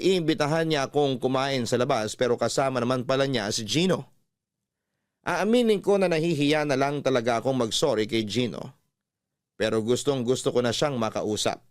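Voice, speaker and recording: A man's voice: medium pitch, no room sound. Filipino man narrating, dry close sound. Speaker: radio drama narrator and host. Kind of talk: first-person scripted story narration.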